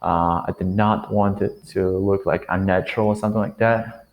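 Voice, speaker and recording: A man's voice: sits low, fairly even intonation, moderate pace. A nineteen-year-old man giving unscripted advice to the camera, hesitating often and looking around while he thinks. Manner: hesitant, many fillers and restarts. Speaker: vlogger. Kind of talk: unscripted talking-head advice.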